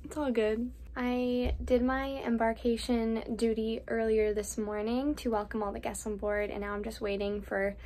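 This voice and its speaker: A woman in her twenties, a cruise ship performer, chatting casually to a phone camera held at arm's length in a small cabin, bubbly with quick asides. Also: on the high side, little pitch movement, a little mellow, poor audio. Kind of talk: casual vlog chat to camera.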